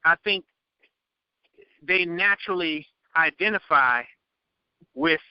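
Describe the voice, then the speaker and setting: A man in a casual group discussion, measured and agreeable, explaining carefully. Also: fairly high pitch, clear, resonant tone, no room sound. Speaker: podcast panelist. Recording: dull dry lo-fi audio.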